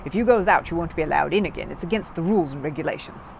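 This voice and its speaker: A woman's voice: sits low, very fast, gravelly, darkish tone, a little hiss or hum. A woman voicing a brusque male park attendant in a storybook, colloquial and strict about rules. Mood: officious. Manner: rule-bound, ungrammatical, emphatic.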